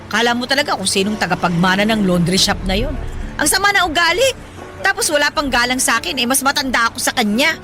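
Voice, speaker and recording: Radio drama mother, late 30s: high, forceful, colloquial, affectionate and proud. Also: fast talker, faint music behind. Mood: indignant. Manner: animated, motherly, colloquial, playfully indignant. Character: hardworking, proud, affectionate 37-year-old mother driving a pedicab. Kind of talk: Filipino radio drama acting.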